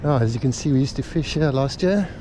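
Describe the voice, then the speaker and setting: A man casually talking through a fishing walk along a rocky beach, relaxed and halting with lots of fillers, filmed on a body-worn camera outdoors. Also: medium pitch. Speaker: fishing vlogger. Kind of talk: casual walking commentary. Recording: outdoor camera audio with wind and surf noise. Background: crashing waves and wind.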